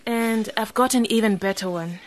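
A woman's voice: on the high side, moderate pace, close to an everyday voice. An actress playing a caring, persistent young village woman in a radio drama. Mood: earnest.

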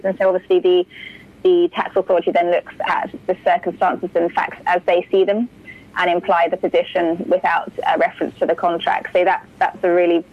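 A woman giving a measured explanation as an expert guest in an interview. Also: fairly low pitch. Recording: slightly muffled call audio.

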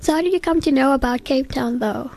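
High-voiced woman radio host. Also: gravelly, a little mellow. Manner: friendly, prompting with quick follow-up questions. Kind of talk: community radio interview. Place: radio studio.

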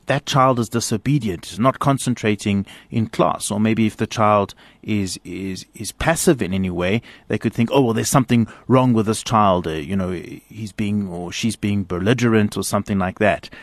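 A priest hosts a radio interview, asking his guest thoughtful, probing questions. His voice sits fairly low and is recorded close and dry, with no room sound. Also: no room sound.

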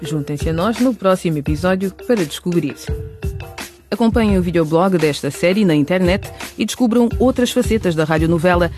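A man's voice: sits high, animated, fairly quick, darkish tone, soft background music. A man reading scripted radio narration as the presenter of a drama series.

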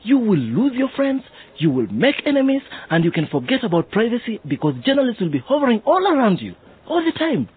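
A man's voice: extremely high pitch, wildly swooping pitch, a little fast, breathy edge, phone-quality sound, heavily acted. An actor playing a middle-aged, dramatic, protective father in a radio drama. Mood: worried warning. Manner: exclaiming, sighing, dramatic. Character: doubtful, protective, loving African father of teenage children.

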